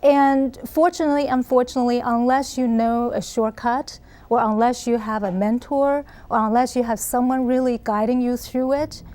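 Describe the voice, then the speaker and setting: Middle-aged taiwanese woman guest on a talk show, kinda high voice, earnest, explains stuff patiently. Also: steady tone, moderate pace, hardly any pauses, a little mellow. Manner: earnest, explanatory, frequent laughs. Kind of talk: interview answers.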